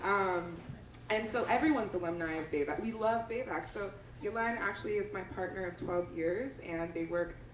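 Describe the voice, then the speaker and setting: A woman's voice: medium pitch, moderate pace. A woman in her thirties from the Bay Area, a filmmaker talking on a live panel in a chatty, thankful way with many restarts. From San Francisco Bay Area. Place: theater stage before an audience. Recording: echoey hall, audience noise, far mic.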